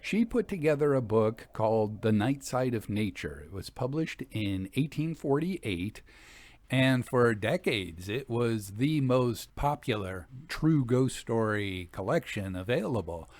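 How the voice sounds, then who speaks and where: A man reads as a storyteller with a lively, widely moving pitch in a middle register. His delivery is measured and articulate, like scripted literary narration, and the sound is dry with no room around it. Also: dry recording. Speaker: storytelling podcast host and reader.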